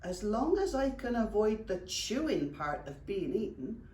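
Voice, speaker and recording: A British woman voicing cowardly, excitable young male goblins in a children's storybook, exclaiming dramatically in colloquial speech. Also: mid-range voice, unhurried, a little hiss or hum, pitched above the performer's own voice. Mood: gloomy panic. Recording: roomy echo, distant microphone.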